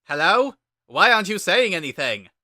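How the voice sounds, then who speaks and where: A man's voice: sits high, sing-song, normal speed, pushed and bright, no echo, clean studio sound, voice pushed up, exaggerated voice. A man voicing a pompous, big-hearted would-be royal guard in a dramatic story reading, with bombastic, grandiose delivery. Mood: loud, puzzled. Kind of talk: dramatic reading of fan fiction.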